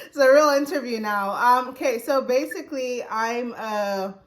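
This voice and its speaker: A woman's voice: fairly high pitch, normal speed, poor audio. A woman in her twenties from Zambia, chatting casually as an interview guest, often saying "like" and "you know". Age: 20s. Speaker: interview guest, recruiting manager. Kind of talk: casual personal interview storytelling.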